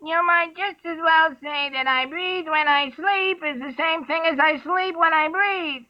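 A man's voice: very high voice, measured pace, pitched above the performer's own voice. A man voicing a sleepy little male dormouse who talks in a drowsy mumble. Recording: somewhat dull lo-fi sound.

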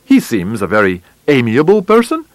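A male reader plays a gentleman detective from a storybook, imperturbable and drily witty. He talks in an unhurried, bland way with a lively melody, recorded dry and close. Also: a little high, dry recording. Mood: drily amused. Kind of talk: audiobook character voice.

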